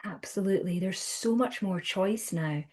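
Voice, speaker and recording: A Scottish woman in her thirties, a counsellor talking through her work in a chatty, animated way, often restarting her sentences. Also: mid-range voice, normal speed. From Scotland. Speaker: guest counsellor. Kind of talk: conversational podcast interview.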